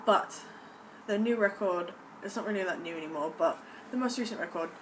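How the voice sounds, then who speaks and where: Young woman, American putting on a British accent, gushing, chatty vlog, mid-pitched voice, slightly distant mic, faint background hiss. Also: fairly quick. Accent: put-on British accent. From United States. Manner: gushing, enthusiastic. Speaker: vlogger. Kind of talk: casual talk to camera.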